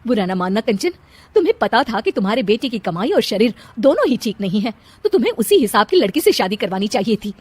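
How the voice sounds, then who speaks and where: A woman's voice: fairly high pitch, big pitch swings, fast, a little mellow, husky. A woman voicing a disapproving, catty middle-aged friend in a cartoon story, pointed and judgmental.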